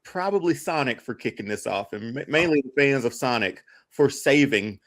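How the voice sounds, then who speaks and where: A man's voice: medium pitch, animated, normal speed, fairly bright tone, a little hiss or hum. A man chatting casually as a podcast panelist, slangy and emphatic, often repeating his phrases for weight.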